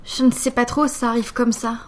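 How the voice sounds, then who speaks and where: A woman's voice: on the high side, fairly even intonation, normal speed, a little hiss or hum, lightly acted. A woman playing a touchy, hesitant blind teenage girl who is unsure of herself in an audio drama. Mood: doubtful. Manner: hesitant, protesting. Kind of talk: amateur French audio fiction dialogue.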